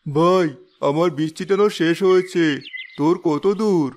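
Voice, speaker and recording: A man voicing a humble, trusting blind village father in an animated moral story, speaking earnestly. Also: on the high side, moderate pace, slight background noise, lightly acted.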